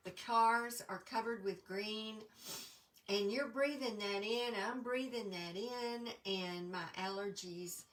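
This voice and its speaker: Congested woman chatting slowly. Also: mid-range voice, fairly bright tone, distant mic, a little hiss or hum, poor audio. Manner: chatty, with frequent ums and throat clearing. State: congested from allergies. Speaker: crafting demonstrator. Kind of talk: casual live-stream chat.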